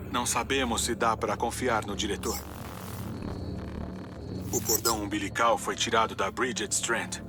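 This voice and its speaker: A man's voice: fairly low pitch, natural voice. A man with a Brazilian accent playing an earnest, secretive colleague leaving a confidential message in a dubbed game. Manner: confiding, serious. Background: loud music.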